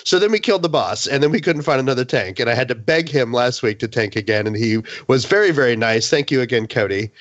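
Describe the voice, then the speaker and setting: A man's voice: medium pitch, lively intonation, fast talker, hardly any pauses, a bit bright, no echo, dull audio. A man chatting casually as a gaming podcast panelist, with a playful, storytelling manner.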